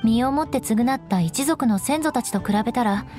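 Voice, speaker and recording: An actress voices a young noblewoman knight from an anime game with a high, expressively inflected voice. She is proud and stoic, speaking with measured formality and haughty composure over background music. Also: animated, darkish tone, lightly acted. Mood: calm, resigned. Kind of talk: Japanese anime game voice acting.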